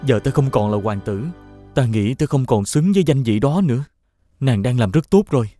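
A man playing a humble, forgiving young prince in an animated fairy tale, speaking formally and earnestly. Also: medium pitch, moderate pace, close to an everyday voice. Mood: humble, tender. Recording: clear music behind the voice.

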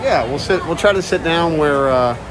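Man, loud chatty live-streamer, slightly high voice, phone audio, crowd noise. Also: expressive, normal speed. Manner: loud, chatty, talks to viewers and chat. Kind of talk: casual live-stream chat. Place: outdoors at a busy shopping area, later indoors. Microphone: phone held while walking.

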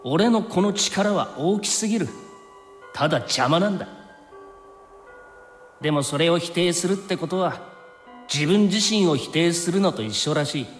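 Soft-hearted young male game hero, played by a man: casual but earnest, highish lively voice, music behind, reverberant. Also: moderate pace, long pauses, lightly acted. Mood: earnest, wistful. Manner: casual, breezy talk with an earnest core. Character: easygoing, soft-hearted young time-traveling fighter. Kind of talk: anime game cutscene acting.

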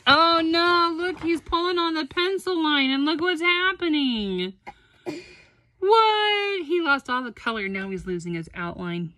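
Woman helping a kid read a picture book, slow n encouraging, asks little questions, casual. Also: sits high, expressive, bright, forceful tone, dry recording. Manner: encouraging, prompting with questions and repeats. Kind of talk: casual read-along chat with a child. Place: carpeted room at home.

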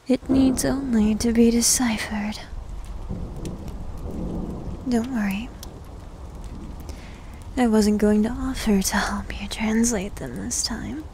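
A needy goth demon girl, played by a woman for an ASMR roleplay, whispers softly in a slightly high, dark and velvety voice. She takes her time, coy and teasing, with a breathy delivery. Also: slight background noise.

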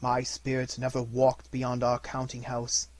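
A man voicing the anguished ghost of a dead miserly businessman in a storybook, lamenting solemnly and slowly, from hushed to loud. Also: medium pitch, completely flat delivery, no room sound, close to an everyday voice. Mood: grave, weary.

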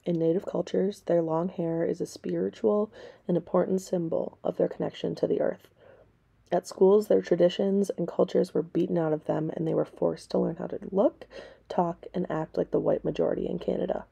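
A woman reading aloud scripted story and history narration for listeners in a measured, plain way. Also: lowish voice, flat delivery, creaky, warm tone, breathy edge. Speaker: storybook reader and history presenter.

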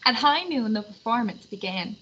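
A woman reading a children's storybook aloud in an animated narration style. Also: on the high side, normal speed. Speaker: storybook reader. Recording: slightly muffled, faint background noise.

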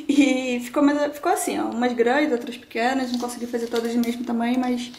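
High-voiced young woman in an echoey kitchen. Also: little pitch movement, a little fast, a little mellow, a little hiss or hum. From Brazil. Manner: chatty, explains each step to viewers. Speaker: cooking vlogger. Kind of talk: casual cooking tutorial. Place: home kitchen, indoors.

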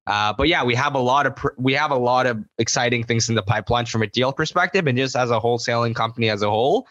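A man's voice: mid-range voice, fast, no room sound, dull audio. A young man co-hosting a real estate podcast in casual chat, rambling with fillers on a close studio mic. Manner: relaxed, rambling with fillers and swearing. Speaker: podcast co-host. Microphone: new studio mic, close.

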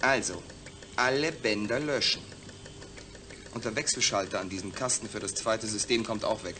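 A man's voice: on the low side, moderate pace, close to an everyday voice. A man playing a proud, demanding astronaut patient in a science-fiction radio drama, speaking in an emphatic, articulate way. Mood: firm, demanding.

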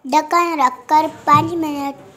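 A woman's voice: high voice, steady tone, pushed and bright. A young girl hosting a home cooking video, giving step-by-step recipe instructions and stumbling over words now and then. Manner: halting, repeats words when stuck. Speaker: cooking show host.

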